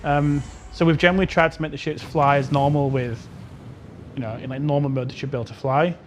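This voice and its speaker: A man's voice: fairly even intonation, fast. A man speaking casually as a game developer guest on a panel, informal and rephrasing as he goes. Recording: very loud engine rumble over voice.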